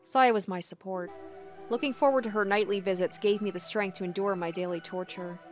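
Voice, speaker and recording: A woman's voice: medium pitch, steady tone, a little fast, faint music behind. A woman reading a first-person story aloud as a dramatic narration. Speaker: gaming video host.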